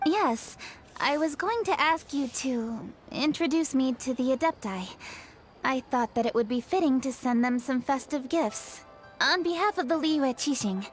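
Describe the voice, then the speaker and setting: A woman's voice: sits high, lively intonation, a little slow. A woman playing a polite, efficient young noblewoman official in a video game, formal and considerate. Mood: earnest. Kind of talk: video game cutscene acting. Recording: background music.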